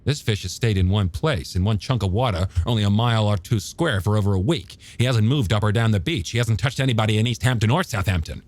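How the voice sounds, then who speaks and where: A man playing a skeptical, gruff small-town police chief in an audiobook, asking blunt, incredulous questions. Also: on the low side, fast, few pauses, voice pushed up, lightly acted. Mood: exasperated. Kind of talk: audiobook character dialogue.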